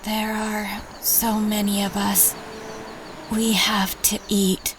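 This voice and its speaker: A woman's voice: mid-range voice, little pitch movement, slow pace, noisy background, close to an everyday voice. A woman playing a weary, resolute forest dweller in a fantasy audio drama. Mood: weary, grave.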